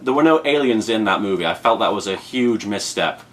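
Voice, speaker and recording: A man's voice: fairly low pitch, expressive, a little fast. A British man in his thirties hosting a casual unboxing vlog, rambling in a playful, mock-serious way with emphatic repetition. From Britain. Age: 30s. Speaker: unboxing video host. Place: indoors at home in an apartment. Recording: clear background room noise.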